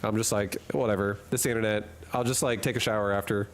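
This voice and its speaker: A man's voice: fairly low pitch, steady tone, fast. A man streaming a game from a hot room at home, chatting casually and rambling through jokes while he reads chat and laughs often.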